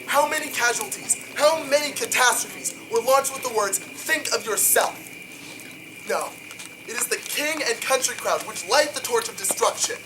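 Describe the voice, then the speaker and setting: A man acts out a proud, defiant tycoon delivering a dramatic video game monologue. He speaks as an orator, emphatic and deliberate, full of contempt and grandeur. The recording has clear background noise. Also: extremely high pitch. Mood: scornful, indignant.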